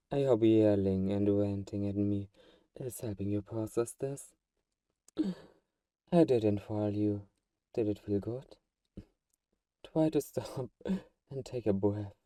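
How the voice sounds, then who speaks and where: Guy acting as a possessive vampire hypnotist, really dark mellow voice, slow coaxing asmr roleplay delivery, super dry sound. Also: lowish voice, dry recording, recorded from a distance. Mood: calm, amused. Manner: slow, soothing, coaxing, intimate endearments. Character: soft-spoken hypnotist vampire, tender, manipulative, possessive friend.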